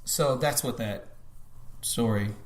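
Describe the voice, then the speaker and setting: Brief: thirty-something man, guest, thoughtful and halting, mid-pitched voice, echoey room. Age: 30s. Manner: thoughtful, restarts often. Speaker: podcast guest. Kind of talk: reflective casual conversation. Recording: roomy echo.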